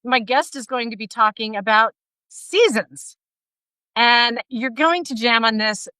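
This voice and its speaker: A woman in her fifties hosting a casual interview over a video call, energetic and laughing often. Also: on the high side, a little slow, dry recording, low-quality recording. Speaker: podcast host. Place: bright room at home, indoors. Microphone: video call, laptop mic.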